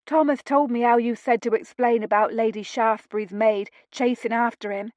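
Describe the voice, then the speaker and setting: A woman voicing a chatty, excitable young housemaid who talks in quick, gossipy bursts. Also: on the high side, dry recording, close to an everyday voice. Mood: excited.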